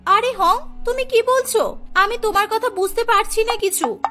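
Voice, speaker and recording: A woman's voice: extremely high pitch, moderate pace, bright, forceful tone, pitched above the performer's own voice. A woman playing a headstrong young career-minded daughter in a cartoon, emphatic and exclaiming, over music. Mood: surprised, friendly. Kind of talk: dramatised cartoon dialogue.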